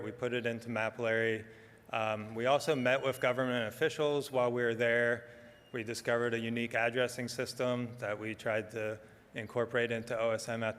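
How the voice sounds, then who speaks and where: A soft-spoken middle-aged man giving a relaxed conference talk, with frequent ums as he walks through slides. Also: medium pitch, a little fast, smooth, long reverb tail. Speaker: conference speaker. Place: conference hall.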